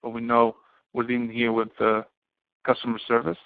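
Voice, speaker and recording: A man giving an explanatory lecture to students as an instructor, speaking haltingly and often posing questions that he then answers himself. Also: mid-range voice, completely flat delivery, gravelly, no room sound. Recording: muffled, band-limited, phone-like audio.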